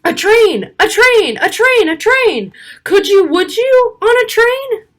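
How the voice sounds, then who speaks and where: A woman reading a rhyming children's picture book aloud in an expressive, rhythmic way. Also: very high-pitched, slow pace. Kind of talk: animated children's story read-aloud.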